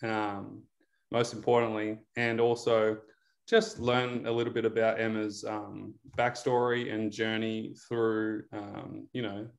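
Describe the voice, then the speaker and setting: A man in his thirties talks through a video call as coach and interviewer. His voice sits on the low side, and he laughs easily and fills pauses with ums and likes. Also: little pitch movement, creaky. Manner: chatty, laughs often, frequent fillers. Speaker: interviewer, recovery program coach. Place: indoor room.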